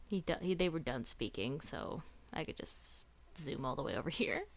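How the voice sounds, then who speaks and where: A woman's voice: low voice, animated, normal speed, gravelly, noisy background. A woman gaming streamer giving casual reaction commentary as she plays. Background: game music.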